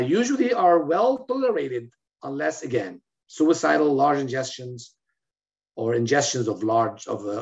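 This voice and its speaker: This middle-aged man, originally from Lebanon, delivers a medical webinar lecture with a gravelly, creaky voice at an ordinary pitch. He explains carefully, often pausing on fillers and restarting phrases. The webcam audio sounds slightly dull and lo-fi. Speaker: physician lecturer. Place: indoor room, in front of a plain wall. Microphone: webcam on a video call.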